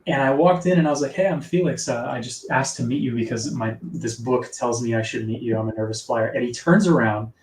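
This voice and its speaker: A man with a soft, sleepy-sounding voice, chatting casually as an author guest in a live interview. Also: medium pitch, a little fast, few pauses, a little hiss or hum. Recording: echoey room, distant from mic.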